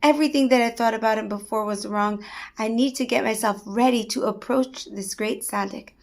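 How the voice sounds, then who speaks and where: A woman's voice: on the high side, a little fast, close to an everyday voice. A woman voicing a distressed, childless male town rabbi who pleads anxiously. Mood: resolved.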